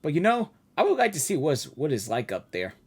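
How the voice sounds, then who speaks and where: Lively casual woman reacting to a game. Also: low voice, fairly quick, breathy edge. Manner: informal, talks back to the game characters. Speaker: gaming reaction streamer.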